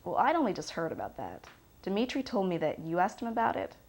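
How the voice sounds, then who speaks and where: A woman's voice: fairly low pitch, wildly swooping pitch, brisk, a little mellow, full character voice. A woman playing a weary, defensive woman answering questions in a blunt, dismissive, conversational way. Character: defensive, weary woman under questioning about failed relationship.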